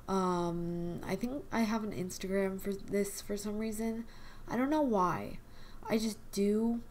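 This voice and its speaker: A young woman hosting a casual game video, her voice hoarse and quiet from a cold, rambling with lots of fillers. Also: mid-range voice, measured pace, slight background noise. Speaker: gaming channel host. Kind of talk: casual chat over gameplay. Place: home desk at night.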